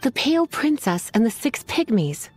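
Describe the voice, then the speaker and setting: A woman playing a flirtatious, composed witch librarian in a video game, with a coquettish, unhurried delivery. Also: on the high side, very animated, darkish tone, faint music behind. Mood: composed, purposeful. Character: flirtatious, teasing adult female witch librarian, composed yet menacing.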